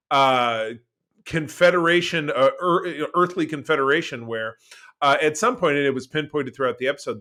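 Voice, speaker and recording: A man co-hosting a podcast, reviewing TV in casual chat with an enthusiastic, emphatic and halting delivery that often repeats words. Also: fairly high pitch, pushed and bright. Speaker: podcast co-host.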